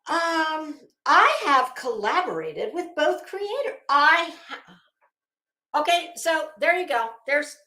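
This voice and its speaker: A woman host reading aloud and adding sarcastic, emphatic, halting commentary as she goes. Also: high-pitched, animated, sharp tone. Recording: echoey room sound.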